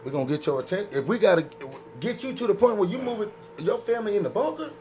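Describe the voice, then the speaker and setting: A man's voice: sits high, big pitch swings, fast, warm tone. A man chatting casually on a podcast, blunt and impassioned, using slang and repeating himself as he argues. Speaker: podcast panelist. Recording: faint background noise.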